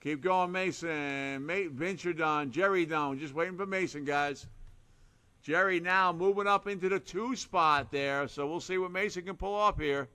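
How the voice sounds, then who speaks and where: A man calling a live RC car race with a hoarse, fading voice, rattling off names and lap times in short bursts. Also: a little high, moderate pace, bright, forceful tone. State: hoarse, losing his voice. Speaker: race announcer.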